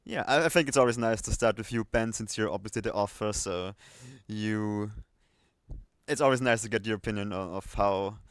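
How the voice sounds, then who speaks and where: A man's voice: on the low side, moderate pace, smooth, no room sound. A man hosting a casual livestream chat, talking in a hesitant, rambling way. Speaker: livestream host.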